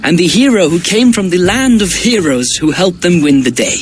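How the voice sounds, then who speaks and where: A man's voice: high-pitched, expressive, normal speed, faint music behind. An actor playing an eager, proud warrior lord serving his king in a video game, bold and declamatory. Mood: proud reporting. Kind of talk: dramatic video game cutscene acting.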